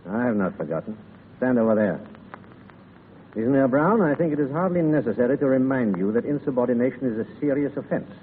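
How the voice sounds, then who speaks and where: A man's voice: mid-range voice, expressive, a little fast, phone-quality sound, close to an everyday voice. A man acting a stern, menacing Foreign Legion adjutant in a formal, clipped, authoritarian way in a 1930s radio drama. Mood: cold, stern. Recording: hissy, echoey 1930s radio broadcast.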